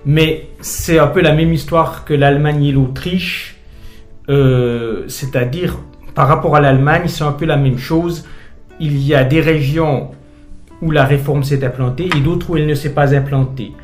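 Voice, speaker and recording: Hesitant middle-aged pastor. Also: male voice, a little high, steady tone, echoey room, soft background music. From Switzerland. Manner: thoughtful, hesitant, many pauses and "euh". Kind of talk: radio interview guest.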